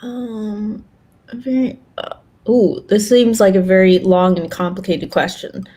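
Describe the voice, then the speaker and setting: A woman's voice: mid-range voice, level tone, measured pace, warm tone. A woman co-hosting a casual podcast, chatting and reading out questions from the audience.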